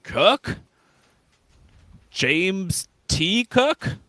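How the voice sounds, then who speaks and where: A man playing an earnest, polite starship captain in an amateur radio play, speaking in a deliberate, halting way. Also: high-pitched, very animated, pushed and bright, no echo, heavily acted. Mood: puzzled, polite.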